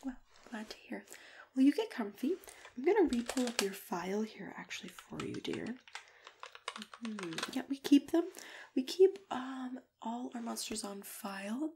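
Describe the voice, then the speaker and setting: A woman playing a kindly, attentive matchmaker in a soft, gentle ASMR roleplay, often dropping into whispers as she talks unhurriedly to a client. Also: on the high side, animated, measured pace, slight background noise. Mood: helpful, reassuring. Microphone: close ASMR microphone.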